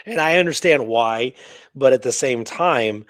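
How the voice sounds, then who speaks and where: A man's voice: medium pitch, expressive, measured pace, a bit bright, no echo. Male history show co-host, close dry sound. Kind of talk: conversational history discussion with read-aloud documents.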